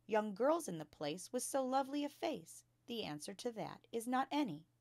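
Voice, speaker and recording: A woman reading a storybook as a bright, earnest five-year-old schoolgirl, polite, precise and modest. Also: on the high side, big pitch swings, moderate pace, creaky, no room sound, voice pushed up, heavily acted. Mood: shy reciting.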